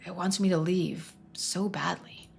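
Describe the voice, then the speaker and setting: A woman voicing a troubled young man trapped in his town, speaking haltingly and sometimes in a near whisper, for a story game. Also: lowish voice, fairly even intonation, gravelly, slightly rough, exaggerated voice. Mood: anxious, haunted.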